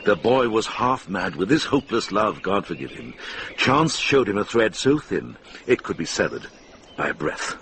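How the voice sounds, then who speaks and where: An actor playing a wise, earnest, middle-aged monk and former soldier in a radio drama. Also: male voice, fairly low pitch, sing-song, normal speed, creaky, background noise. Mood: earnest pleading.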